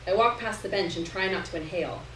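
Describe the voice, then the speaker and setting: A woman reads first-person fiction aloud with expressive, dramatic delivery. Her pitch is mid-range, and she sounds a little way from the mic in an echoey room, with faint noise in the recording. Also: fast talker. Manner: dramatic delivery, acting out dialogue. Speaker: reader of fiction at a live literary event. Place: indoor room at a live reading. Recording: roomy, distant live recording with noise.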